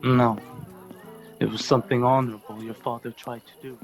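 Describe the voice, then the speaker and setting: An actor playing a grave, honorable lieutenant in a radio drama, speaking in a solemn, measured storytelling way. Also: male voice, medium pitch, flat delivery, soft background music, close to an everyday voice.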